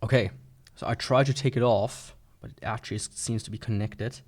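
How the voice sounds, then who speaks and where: A man's voice: on the low side, steady tone, a little fast. A man giving a casual, unscripted product review in a rambling, informal, skeptical way. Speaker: gadget reviewer.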